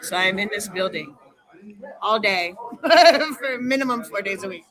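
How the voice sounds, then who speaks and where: A woman's voice: mid-range voice, expressive, a little slow, a crowd in the background, rough recording. A woman chatting casually and enthusiastically as a wrestling trainer and podcast guest. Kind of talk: casual chat.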